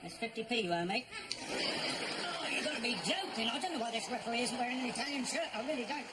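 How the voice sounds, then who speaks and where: An actor playing a grumpy, sarcastic husband who grumbles at the football on TV. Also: male voice, very high-pitched, animated, fast. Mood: grumbling. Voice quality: grumbling, sarcastic, shouts at the telly. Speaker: neighbour watching football on TV. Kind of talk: sitcom acting. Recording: noisy broadcast sound.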